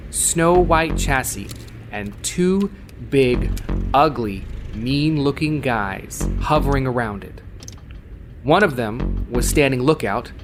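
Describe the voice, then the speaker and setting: A man's voice: medium pitch, noisy background. A man playing a jaded, wry cyberpunk courier, narrating a gritty first-person audio drama in a world-weary, sardonic manner.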